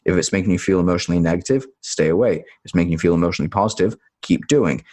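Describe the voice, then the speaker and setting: A man from Tanzania, talking as a podcast guest in a relaxed, rambling way that often corrects itself. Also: deep voice, very fast. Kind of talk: podcast interview conversation.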